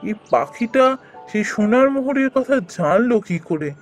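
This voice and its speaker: A performer playing a poor, gentle, anxious village husband in a fairy-tale cartoon, earnest and deferential in manner. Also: medium pitch, expressive, moderate pace, soft background music, natural voice.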